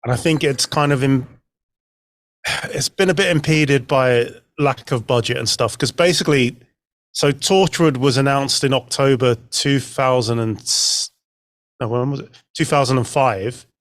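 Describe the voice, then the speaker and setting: A man's voice: mid-range voice, unhurried, no echo, clean studio sound. A man speaking as a podcast guest, explaining details in an informal, conversational style. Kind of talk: informal fan discussion.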